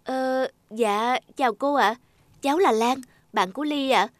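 A woman's voice: high voice, unhurried, no room sound. A woman voicing a friendly, polite young female office worker in a cartoon. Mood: friendly, earnest. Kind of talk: cartoon voice acting.

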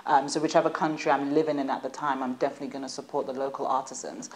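A woman, a guest in a studio interview, telling stories in an animated, chatty way and laughing at times. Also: a bass voice, racing. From United Kingdom. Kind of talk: casual interview chat.

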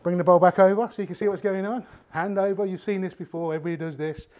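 A man, his voice sitting a little high for a man. He chats easily while chopping and grating in a home kitchen, talking straight to the viewers. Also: fast talker. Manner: chatty, relaxed, talks while working with his hands. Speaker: cooking show host. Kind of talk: casual cooking demonstration to camera.

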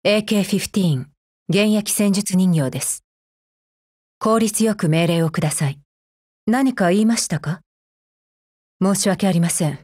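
A woman's voice: mid-range voice, darkish tone, dry recording, clean studio sound, lightly acted. A woman playing a cold, efficiency-minded female combat android in a mobile game, speaking formally in a clipped, stern, unemotional way. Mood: cool, composed. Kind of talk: scripted mobile game character voice lines.

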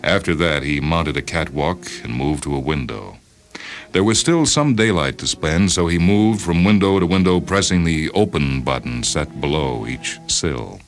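A man narrating a science fiction short story in a measured, dramatic reading with deliberate pauses. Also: extremely low pitch, animated. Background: music behind the voice.

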